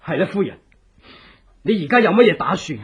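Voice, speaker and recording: An actor playing an earnest, secretive revolutionary, speaking with urgent, theatrical persuasion in a radio drama. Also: male voice, high-pitched, very animated, fairly quick, slightly rough, heavily acted. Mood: grave, guarded. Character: earnest, secretive revolutionary, escaped political prisoner. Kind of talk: Cantonese radio drama acting. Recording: dull, lo-fi old radio drama.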